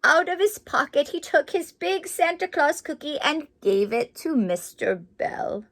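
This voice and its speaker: A woman reads to children as a doting Mrs. Claus in a very high voice. Her delivery is slow and drawn out, with lively swings in pitch and gushing exclamations. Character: Mrs. Claus, sweet doting excitable Christmas lady. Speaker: storyteller playing Mrs. Claus. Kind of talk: children's story read-aloud with excited asides.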